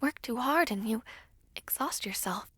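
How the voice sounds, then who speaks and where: A gentle young princess played by a woman speaks in a high, breathy voice at an unhurried pace. She is modest and hesitant, choosing polite, formal words. The recording is very dry and close, in the manner of an audio roleplay. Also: animated, dry recording, exaggerated voice. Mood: gently anxious. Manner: soft-spoken, hesitant, polite, formal diction.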